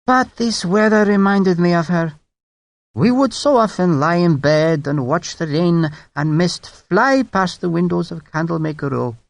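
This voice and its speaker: A man's voice: high voice, measured pace, gravelly, no room sound, voice pushed up. Man voicing a silky French brigadier. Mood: charming. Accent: French with a light Scottish touch. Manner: mild, courteous, quietly charming. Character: suave menacing French brigadier, about forty.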